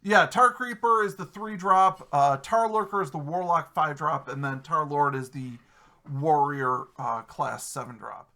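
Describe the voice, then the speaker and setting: A man with a high-pitched, bright, forward voice hosts a casual gaming chat. He rambles through fillers and restarts and keeps it loose and friendly over a desktop mic. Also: a little slow. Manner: chatty, self-interrupting with many fillers. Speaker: podcast host.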